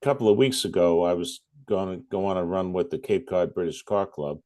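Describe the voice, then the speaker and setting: A man's voice: low voice, a little fast, warm tone. A man chatting casually as a club member on a group technical call. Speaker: club member asking questions. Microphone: conference call.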